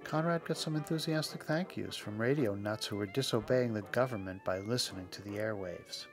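A man with a slightly deep voice delivers scripted documentary narration. He tells it conversationally, as a storyteller with a wry streak. Soft music plays behind him. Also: brisk. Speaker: podcast narrator and host.

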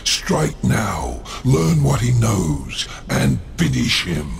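A man playing a haughty, scheming, menacing demon lord in a video game, gravelly, sardonic and theatrical. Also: mid-range voice, wildly swooping pitch, drawn-out words, a little hiss or hum, exaggerated voice. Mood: commanding. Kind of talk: scripted video game dialogue.

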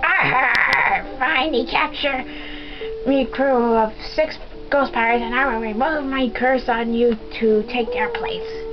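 A woman voicing a gruff, menacing male ghost pirate captain, with a raspy pirate growl, recorded over game music. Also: high voice, animated, measured pace. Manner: bellowing pirate growl, rolled r's. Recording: dull lo-fi game capture with music.

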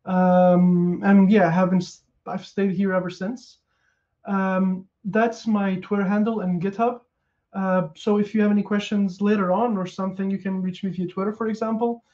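A man's voice: high voice, moderate pace, highs cut off. A man in his thirties from Portugal with a Portuguese accent, giving a casual technical conference talk over a headset, with a lot of ums and uhs. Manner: relaxed, lots of ums and uhs, restarts. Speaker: conference speaker. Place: small room at home.

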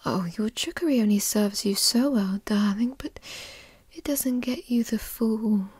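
Woman acting a slow, breathy whispering teasing girlfriend. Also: fairly high pitch, darkish tone, no room sound, clean studio sound. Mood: smug, playful.

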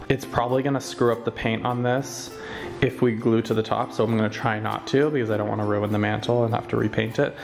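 A young man chatting in a campy, animated way as he decorates his living room, filming himself at arm's length. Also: medium pitch. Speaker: vlogger. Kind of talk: casual home decorating vlog. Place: large bright living room. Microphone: camera held at arm's length. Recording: roomy room with music behind.

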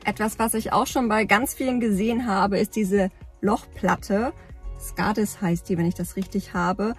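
Woman, 30s, mid-pitched breathy voice, easygoing rambling vlog chat from a parked car, handheld camera, soft music underneath. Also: fairly even intonation, normal speed. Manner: chatty, rambling with frequent fillers. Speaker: camping vlogger. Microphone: phone or camera at arm's length.

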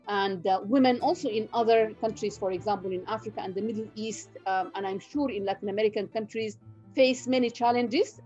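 A woman's voice: medium pitch, mellow, dull audio. Nubian-born woman lecturing on a video call. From Sudan. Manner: measured, many fillers and restarts. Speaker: professor. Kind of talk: online guest lecture. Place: home room.

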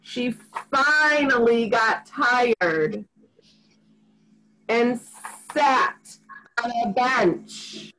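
A woman in her forties reading a picture book aloud to young children in an expressive, sing-song, deliberate way, recorded at home on a computer mic. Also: a little high, slight background noise, poor audio. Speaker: rabbi reading to preschoolers. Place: small furnished room at home. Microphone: computer microphone on a video call.

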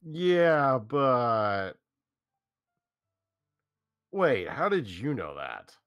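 A man's voice: medium pitch, sing-song, very slow, fairly bright tone, muffled recording, faint music behind, full character voice. A man reading a hesitant teenage boy in a staged reading of a visual novel.

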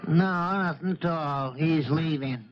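An actor in a 1970s radio drama playing a crusty old charter boat captain, gruff and folksy. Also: male voice, on the high side, takes it slowly, music in the background. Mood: brisk. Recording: muffled 1970s radio recording.

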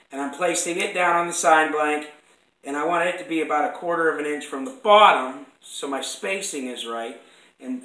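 A man with a cold-roughened, hoarse voice talks through his woodworking steps in a loose, self-correcting way. His tone is bright and forceful, a little higher than most men's. The sound bounces around an echoey shop room, picked up by a camera across the bench. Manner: rambling, self-correcting, apologetic asides. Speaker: woodworking instructor. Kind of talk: casual workshop demonstration. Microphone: fixed camera across the workbench.

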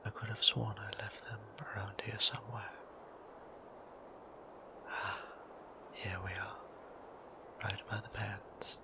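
A man voicing a gentle, formal male vampire priest in an ASMR roleplay, whispering with courtly, old-fashioned politeness. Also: fairly low pitch, level tone, slow, pauses often, background noise. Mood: tender.

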